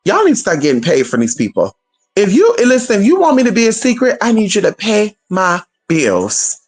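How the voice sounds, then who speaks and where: A woman's voice: medium pitch, a little fast, slightly rough. A woman chatting casually on a livestream, sassy and profane, calling her viewers honey and girl. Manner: animated, talks straight to viewers.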